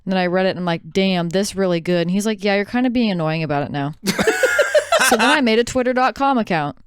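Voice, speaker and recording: Clear-voiced, wry woman podcaster. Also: mid-range voice, quick pace, dry recording, studio quality. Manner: wry, teasing. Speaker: podcast co-host. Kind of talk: casual chat among friends.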